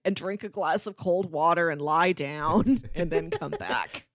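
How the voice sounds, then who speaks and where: A woman's voice: on the low side, measured pace, dry recording, clean studio sound. A woman hosting a podcast in casual, conversational film discussion. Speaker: podcast host.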